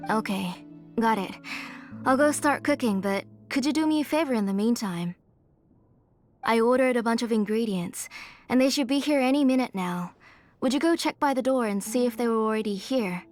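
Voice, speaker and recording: A woman's voice: fairly high pitch, animated, normal speed, a little mellow, faint music behind, close to an everyday voice. Woman acting an older motherly game character. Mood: motherly, fussing. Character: grieving, doting older mother, retired forger.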